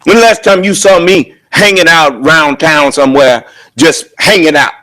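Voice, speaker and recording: A man preaching a sermon at a prayer service in a loud, booming voice, driving his points home with rhetorical questions. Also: normal speed.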